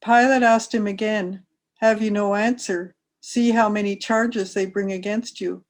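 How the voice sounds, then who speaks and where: A woman's voice: mid-range voice, steady tone, a little slow. A woman reading a scripture narrative aloud as a member of a church.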